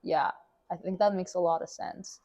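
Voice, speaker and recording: Young woman with a gravelly low voice. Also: steady tone, warm tone, dull audio. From Mexico. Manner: chatty, many fillers and restarts, quick to laugh. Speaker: guest. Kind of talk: casual interview chat.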